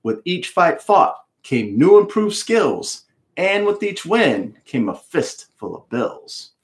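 Expressive American man reading aloud. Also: a little high. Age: middle-aged. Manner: emphatic, dramatic pauses and repetition. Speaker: author reading his book aloud. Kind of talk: expressive storybook read-aloud with explanatory asides. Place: home room with bookshelves, indoors.

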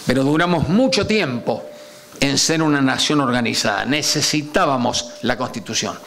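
An older man with an Argentine Spanish accent gives an academic lecture at a panel table, speaking animatedly into a table microphone, drawing key words out slowly and posing rhetorical questions. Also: medium pitch, lively intonation, vocal fry, fairly bright tone, slight background noise. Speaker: lecturer on constitutional history. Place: conference room at a panel table. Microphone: table gooseneck microphone.

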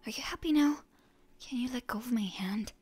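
A woman playing a proud, stubborn young heroine in an ASMR roleplay, in a breathy, often whispery voice with indignant bluster. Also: fairly high pitch, darkish tone. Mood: indignant, defiant. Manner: indignant, halting, tsundere bluster. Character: proud, stubborn wounded young heroine, defiant toward her enemy.

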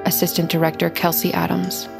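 A woman's voice: on the low side, monotone, fairly quick, gravelly, over music. A woman announcer giving a scripted read of end credits.

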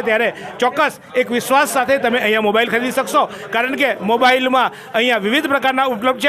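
A middle-aged man, reporting on a shop opening in a chatty, salesy manner into a handheld mic inside a crowded store. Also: very high voice. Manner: chatty, salesy, jokes with viewers. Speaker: local news reporter. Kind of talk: on-the-spot promotional report. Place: crowded mobile shop, indoors.

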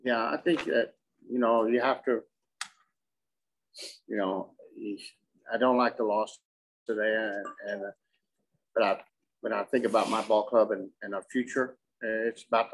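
Middle-aged man, halting coach at a presser. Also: lowish voice, steady tone, a little hiss or hum, poor audio. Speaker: softball coach. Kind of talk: postgame press conference answers. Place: indoor press conference room with curtain backdrop.

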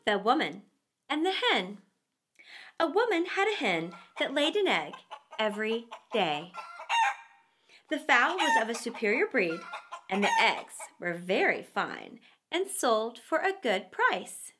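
A middle-aged woman reading aloud to children as a storyteller, with theatrical, deliberate phrasing. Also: on the high side, big pitch swings, noisy background. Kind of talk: expressive read-aloud for children.

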